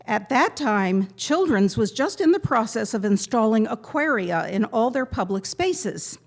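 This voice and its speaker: A woman giving a prepared memorial tribute to a gathering, speaking in measured, deliberate phrases with pointed pauses. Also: fairly high pitch, big pitch swings. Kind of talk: prepared eulogy read aloud to a gathering.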